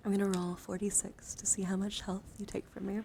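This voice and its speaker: A woman livestream host chatting casually, often dropping into soft murmurs and whispers. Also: medium pitch, fairly quick, creaky. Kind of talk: casual live-stream chat. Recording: steady background noise.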